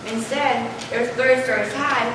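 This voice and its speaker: A woman's voice: a little high, steady tone, normal speed, close to an everyday voice. A young adolescent girl reading scripted narration as a student narrator in a school readers theater play. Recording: echoey distant stage recording, noisy.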